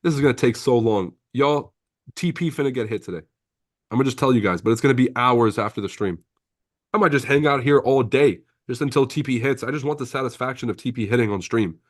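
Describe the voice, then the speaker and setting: A man's voice: medium pitch, fast talker, no room sound, clean studio sound. A man from Lebanon, French his first language, hosting a casual trading livestream, reading viewer comments with brash, profane banter and frequent laughter.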